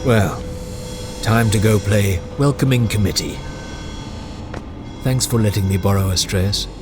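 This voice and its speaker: A man's voice: on the low side, unhurried, over music, close to an everyday voice. An actor playing a persuasive male political insider who coaxes and teases his reluctant friend. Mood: coaxing. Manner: coaxing, teasing, lively. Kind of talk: audio drama acting.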